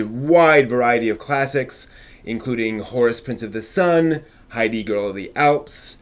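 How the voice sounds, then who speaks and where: A man with a mid-range voice hosts an informal news stream. He presents items like a newsreader but in a loose, conversational way, at times hesitating as he goes. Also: mid-range voice. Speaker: host of an anime news live stream.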